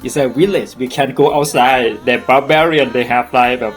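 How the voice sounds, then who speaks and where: Thai-accented man chatting haltingly. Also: medium pitch, faint music behind. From Thailand. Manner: halting, searches for words in second language. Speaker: podcast co-host. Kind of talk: casual chat.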